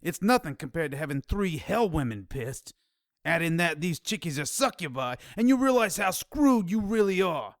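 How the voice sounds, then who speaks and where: High, animated streetwise informant. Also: male voice, moderate pace, dry recording, studio quality. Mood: tense warning. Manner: urgent, slangy. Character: agitated, streetwise male informant with underworld ties. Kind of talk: audio drama dialogue.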